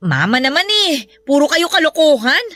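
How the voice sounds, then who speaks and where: Young adult Filipino daughter, aggrieved and self-defending, for radio-style melodrama. High, bright, sharp tone. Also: female voice, big pitch swings, normal speed. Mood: sheepish, complaining. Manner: complaining, self-defending, politely reproachful. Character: put-upon, clumsy, frank young Filipino daughter. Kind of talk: melodramatic Filipino audio drama acting.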